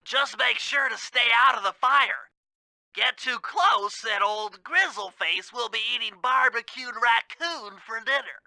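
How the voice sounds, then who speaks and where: A man voicing a small, brainy, fussy male turtle in a video game, with a high, pinched, precise delivery. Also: measured pace, pushed and bright, dry recording, exaggerated voice, low-quality recording. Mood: instructive. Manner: precise, wordy, bookish. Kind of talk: video game character voice acting.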